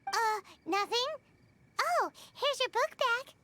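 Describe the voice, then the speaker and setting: A woman's voice: very high voice, expressive, slow, pushed and bright, slight background noise, exaggerated voice. A woman voicing a tiny, childlike fairy companion in a video game, cheeky, chatty and blunt. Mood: curious, evasive. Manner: blunt, teasing, refers to self by name. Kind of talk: animated game character acting.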